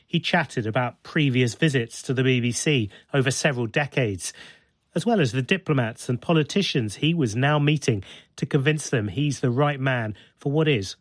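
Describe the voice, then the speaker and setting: A man's voice: mid-range voice, no echo. A man hosting a radio interview, asking probing questions and narrating in a composed broadcast manner. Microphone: studio mic.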